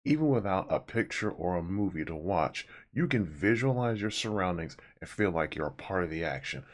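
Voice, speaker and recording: A man's voice: low-pitched, animated, moderate pace. A man hosting a casual, conversational review monologue with informal, rambling asides. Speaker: host of an audiobook review series. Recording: music behind the voice. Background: music.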